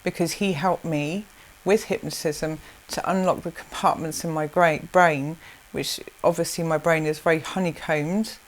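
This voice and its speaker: A woman from South Africa, answering interview questions in a rambling way with many restarts and soft laughs. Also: sits low, steady tone, normal speed, few pauses. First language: Zulu.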